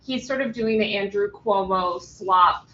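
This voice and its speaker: A woman's voice: medium pitch, a little slow, bright, forceful tone, highs cut off. A woman journalist being interviewed remotely, talking loosely with many ums and restarts while giving blunt opinions. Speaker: journalist guest.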